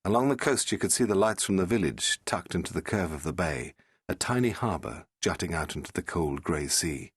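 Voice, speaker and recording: A man narrating a fiction audiobook in a measured, dramatic and suspenseful manner. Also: low-pitched, lively intonation, breathy edge, dry recording, clean studio sound.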